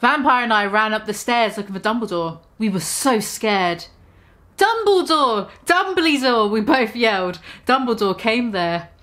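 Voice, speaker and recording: A woman's voice: medium pitch, moderate pace, few pauses, fairly bright tone. A woman in her twenties giving a theatrical, mocking dramatic reading of fan fiction in a small room.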